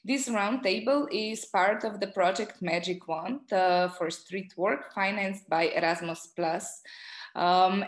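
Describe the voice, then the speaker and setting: Woman moderating a roundtable in an echoey room. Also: medium pitch. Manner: polite, measured, with frequent filler pauses. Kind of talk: formal introductions at a conference panel.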